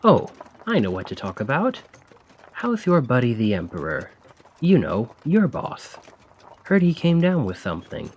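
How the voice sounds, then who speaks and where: A man's voice: mid-range voice, huge pitch swings, measured pace, darkish tone, slight background noise. A man playing a chatty, cheeky stand-in carriage driver in an audio roleplay, talking casually and awkwardly to the listener with sly insinuation. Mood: sly, insinuating. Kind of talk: ASMR roleplay acting, addressing the listener.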